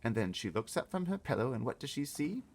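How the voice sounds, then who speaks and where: A man's voice: medium pitch, very animated, fast talker, breathy edge, voice pushed up. A man voicing a bossy, eager little girl in a storybook read-aloud, explaining things emphatically and confidently.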